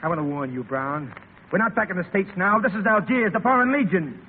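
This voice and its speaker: Gruff bossy legion sergeant in old radio play, long echo, tinny sound. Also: male voice, high-pitched, expressive, a little fast. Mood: barking, scornful. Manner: barking, commanding. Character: gruff, bullying Foreign Legion sergeant dressing down his men. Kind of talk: 1930s radio acting. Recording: echoey, tinny, hissy 1930s radio broadcast.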